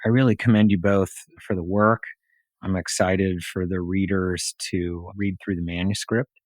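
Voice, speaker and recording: A man's voice: low-pitched, level tone, normal speed, no echo. A man hosting an interview podcast, speaking in a conversational way to his guests. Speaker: podcast host.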